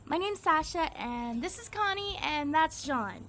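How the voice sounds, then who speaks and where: An actress as an eager young woman in a zombie drama. Her voice is very high and swoops about, friendly and teasing, at an unhurried pace. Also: animated, fairly bright tone. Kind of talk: scripted audio drama acting.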